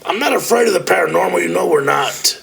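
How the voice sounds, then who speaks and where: A man's voice: high voice, expressive, fast, creaky, crowd noise. A middle-aged man co-hosting a casual podcast, rambling and repeating himself, often saying "dog". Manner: rambling, repeats words, says "dog" a lot. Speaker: podcast co-host, the uncle. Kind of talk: casual chat. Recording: noisy home recording.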